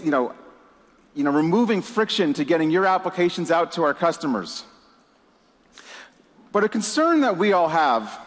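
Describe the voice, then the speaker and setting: A man giving an upbeat conference keynote, emphatic, with a few "you know" fillers. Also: a little high. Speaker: keynote presenter. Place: large conference hall. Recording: reverberant hall with audience.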